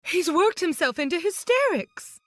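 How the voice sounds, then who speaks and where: A man's voice: very high voice, sing-song, measured pace, fairly bright tone, slight background noise, pitched above the performer's own voice, heavily acted. A man playing a breathless anxious nurse. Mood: panicked.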